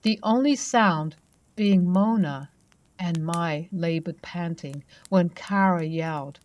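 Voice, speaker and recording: Woman telling spooky true stories, lowish voice, kinda hushed and chatty. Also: slow pace. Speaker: narrator. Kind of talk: storytelling narration.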